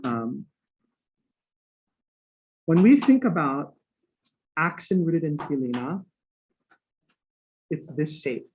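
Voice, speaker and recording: A man's voice: a little high, big pitch swings, takes it slowly, long pauses, a little mellow, slight background noise, low-quality recording. Earnest Hawaiian-raised man lecturing. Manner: earnest, rhythmic, with playful asides. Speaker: guest lecturer. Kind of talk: academic talk.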